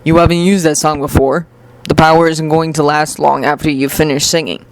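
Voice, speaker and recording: Narrator acting out teenage boy student hero, calm, steady, questioning, storybook delivery. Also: medium pitch, a little fast, dry recording, close to an everyday voice, rough recording. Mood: reassuring.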